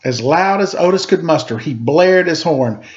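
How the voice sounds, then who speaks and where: A man reading a children's story aloud in an expressive, dramatic storytelling manner. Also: a little high, moderate pace, dull audio. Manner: dramatic read-aloud, acting out sound effects and dialogue.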